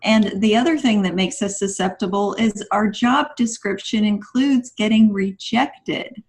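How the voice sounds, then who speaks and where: A woman with a mid-pitched voice talks as a guest on a writing podcast. She sounds earnest and explanatory, in a conversational discussion style. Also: unhurried.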